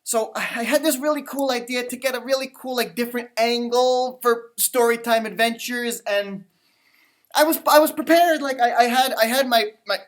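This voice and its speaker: A man hosting a storytime for children, reading and chatting in a theatrical, halting, conversational way. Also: very high-pitched, fairly even intonation. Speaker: storytime host and teacher. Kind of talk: children's storybook read-aloud with chatty asides.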